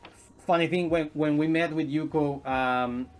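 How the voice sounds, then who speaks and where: A man in his thirties from Chile, a podcast guest chatting casually and haltingly, with many ums and restarts, recorded from a distance in a living room. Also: fairly high pitch, fairly even intonation, faint music behind. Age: 30s. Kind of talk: casual interview chat. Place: indoors, home living room.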